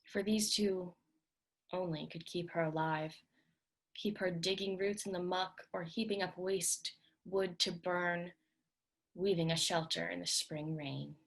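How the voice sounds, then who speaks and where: A woman's voice: fairly low pitch, steady tone, measured pace, vocal fry. A woman giving a dramatic reading of literary fiction as scripted narration.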